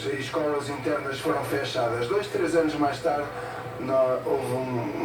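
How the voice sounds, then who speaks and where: A man, interpreting a speech at an event in short, halting phrases with pauses. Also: on the high side, background noise. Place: event hall with an audience. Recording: echoey hall from far off, loud music behind.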